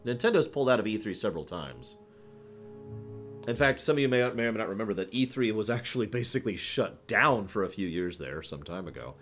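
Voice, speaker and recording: A man's voice: on the low side, lively intonation, brisk, soft background music. A man hosting a livestream, chatting casually and opinionatedly with his viewers in an emphatic, conversational way. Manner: emphatic, conversational, breaks off to address chat. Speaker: livestream host.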